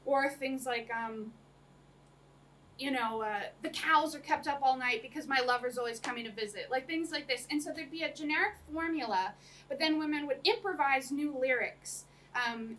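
A woman's voice: high voice, fairly bright tone. A young woman giving an academic talk to a small audience, chatty, with many ums and frequent laughs. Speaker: lecturer. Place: small room with projector screen. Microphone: camera across the room. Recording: distant room mic, faint noise.

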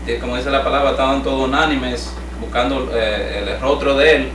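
Halting man answering in a home Bible study. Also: medium pitch, fairly even intonation. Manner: halting, repeats words, frequent amens. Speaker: church member in Bible study. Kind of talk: Bible reading and group discussion. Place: living room, small group seated. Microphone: far camera. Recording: echoey room from far off, clear background noise.

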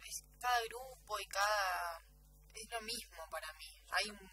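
A young Argentine woman giving casual answers as a radio guest, haltingly repeating words as she finds her phrasing. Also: mid-range voice, bright, forceful tone, a little hiss or hum. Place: small radio studio. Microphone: studio desk microphone.

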